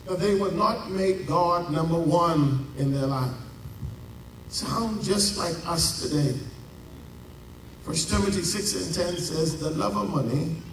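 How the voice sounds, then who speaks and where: A Bahamian man preaching a sermon as pastor, with dramatic pauses and drawn-out emphasis. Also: fairly high pitch, a little hiss or hum. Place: large church. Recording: echoey church heard from a distance.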